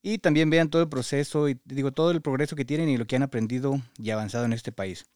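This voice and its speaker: A man's voice: mid-range voice, quick pace, darkish tone, clean tone, no echo, studio quality. A man with a Mexican Spanish accent hosts a podcast, interviewing a guest in a conversational way.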